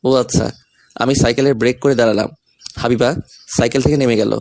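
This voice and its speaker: A man's voice: medium pitch, fairly even intonation, fairly quick, gravelly, a little mellow, dry recording. A young man reading a scripted first-person romantic story, voicing the male lead's lines as well. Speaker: story narrator and male lead.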